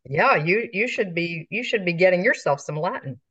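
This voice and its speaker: A woman's voice: on the low side, expressive, moderate pace, a bit bright, husky. A woman who teaches Latin, joining a webinar by video call and chatting curiously with the host. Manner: curious, chatty, asking questions. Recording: dull lo-fi call audio.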